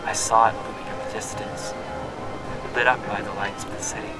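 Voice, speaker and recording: An actor playing a reflective young traveler, narrating his journey in a measured, literary way for a scripted audio drama. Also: lowish voice, little pitch movement, over loud music, natural voice. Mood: watchful.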